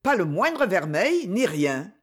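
A woman reading aloud and voicing a calm, level-headed man who speaks in a measured way. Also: mid-range voice, breathy edge, exaggerated voice.

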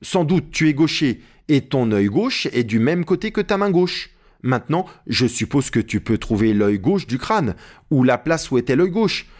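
A man voicing an agitated, imperious gentleman treasure hunter who calls out orders in a storybook. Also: medium pitch, big pitch swings, normal speed, voice pushed up. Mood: eager, insistent.

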